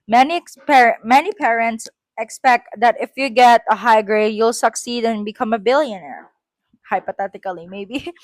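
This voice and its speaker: A woman's voice: a little high, no room sound. Chatty Filipino woman reading her essay. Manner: chatty, self-correcting, laughs at herself. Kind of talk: reading an essay aloud with casual asides.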